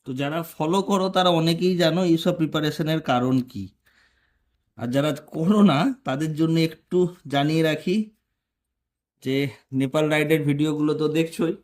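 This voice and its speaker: A man's voice: on the high side, normal speed, a little mellow. Casual man explaining hands-on. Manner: informal, step-by-step explaining to viewers. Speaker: motorcycle vlogger. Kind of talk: casual hands-on tutorial narration.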